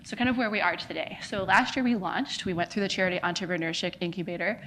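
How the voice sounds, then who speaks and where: A woman's voice: on the low side, quick pace, bright, forceful tone, slight background noise, poor audio. An American woman giving a conference talk as a charity founder, earnest, with frequent fillers like "kind of" and "like". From United States. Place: conference venue.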